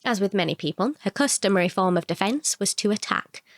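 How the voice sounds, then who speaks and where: Female narrator for short fiction, mid-pitched, expressive scripted storytelling, very dry recording. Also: a little fast, no echo.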